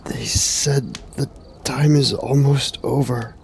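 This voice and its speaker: An actor playing a haunted, weary warrior in a fantasy radio drama, speaking gravely and haltingly. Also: male voice, medium pitch, steady tone, a little hiss or hum. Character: broken, haunted brave warrior, solemn and weary.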